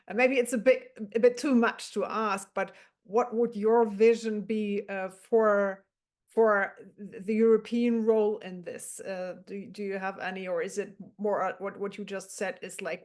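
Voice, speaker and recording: A woman moderating a panel, asking questions in a searching, halting way. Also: mid-range voice, darkish tone.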